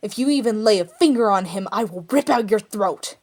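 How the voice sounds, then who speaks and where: A woman voicing a fierce, protective young male warrior in a story reading, urgent and forceful. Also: mid-range voice, animated, brisk, natural voice. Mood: threatening.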